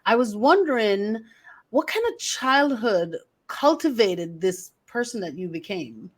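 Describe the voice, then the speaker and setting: Woman, podcast host, affirming, reflective, encouraging, medium pitch. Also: big pitch swings, a little slow. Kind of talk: interview host.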